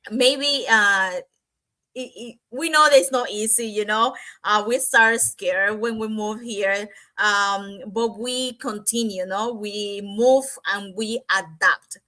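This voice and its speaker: A woman's voice: medium pitch, pushed and bright. A woman from Cuba talking as a panelist in a casual chat, rambling with many fillers and restarts.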